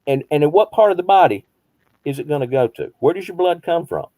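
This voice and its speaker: 50s guy counselor talking to a group, mellow relaxed voice, keeps asking questions back, dry close sound. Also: a little high, a little fast, no echo. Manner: asks rhetorical questions, repeats phrases for emphasis. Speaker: behavioral health counselor. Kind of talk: conversational group teaching.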